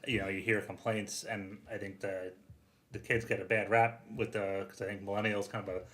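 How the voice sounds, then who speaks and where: Bright-voiced casual podcast host. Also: male voice, lowish voice, little pitch movement, brisk. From New York. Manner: casual, rambling, lots of "like".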